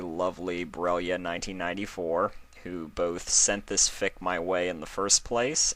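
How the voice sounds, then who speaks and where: A man reading fan fiction aloud as narrator, in an expressive storytelling style. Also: deep voice.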